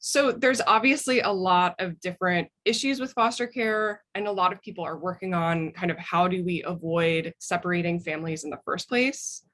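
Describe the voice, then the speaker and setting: A woman's voice: on the low side, moderate pace, few pauses, fairly bright tone. An American woman speaking as an interview guest, telling personal stories in a thoughtful way. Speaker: interview guest, memoir author.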